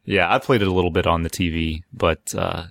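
A man's voice: deep voice, steady tone, fairly quick, vocal fry, fairly bright tone, no room sound, clean studio sound. A man hosting a casual podcast discussion, feeling his way through sentences in a hesitant, meandering way. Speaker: podcast host.

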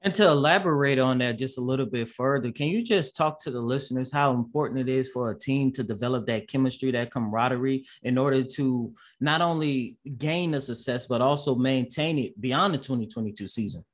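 A man's voice: medium pitch, brisk, few pauses. A man hosting a sports interview podcast, asking long, wordy, formal questions. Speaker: interviewer and show host.

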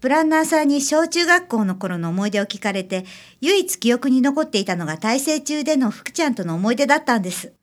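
A woman's voice: sits high, animated, brisk, few pauses. A woman in a radio drama playing a polite, wistful young bride-to-be. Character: polite, wistful young bride-to-be, once a lonely transfer student. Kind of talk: scripted radio drama acting.

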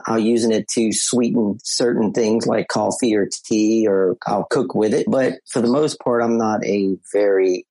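A man's voice: fairly low pitch, steady tone, a little slow, few pauses, warm tone, husky, no echo, rough recording. A man, a podcast guest talking easily about keeping bees and repeating words as he thinks. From South Louisiana. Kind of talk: casual interview chat.

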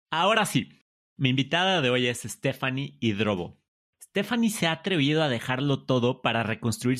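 A man's voice: medium pitch, a bit bright. A man hosting a podcast, reading a scripted welcome and then asking questions in an upbeat, welcoming way. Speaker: podcast host. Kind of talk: scripted intro then interviewing.

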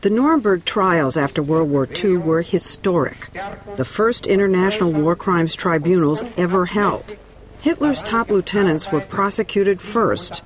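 A woman correspondent narrating a television news feature in a measured, scripted way. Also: lowish voice. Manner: measured, deliberate.

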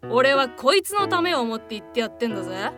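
A woman voicing a brash, hot-tempered devil in a radio drama, with rough, masculine, goading speech. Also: fairly high pitch, sing-song, quick pace, over music.

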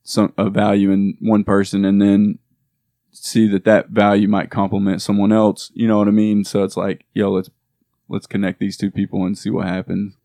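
A man with a gravelly, mellow, low voice talks casually and leans on fillers. His delivery stays flat and even. The recording is close and dry. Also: moderate pace, no echo. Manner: laid-back, full of fillers like "you know" and "like". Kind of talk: casual podcast chat.

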